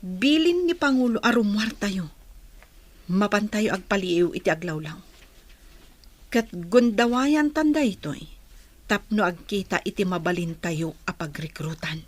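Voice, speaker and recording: A woman playing a steady, reassuring but insistent comrade in a secretive group, in an Ilocano radio drama. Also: mid-range voice, unhurried. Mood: brisk, cautioning.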